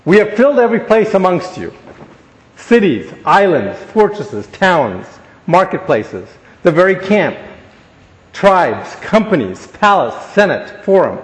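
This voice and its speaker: A man with a fairly high voice tells jokes at his own expense while speaking to an audience. The sound carries the long echo of a large hall. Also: big pitch swings, measured pace. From born in Ghana, grew up in Africa, Asia and the Caribbean. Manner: self-deprecating, joking, storytelling. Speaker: guest speaker. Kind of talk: humorous speech to an audience. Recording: echoey hall.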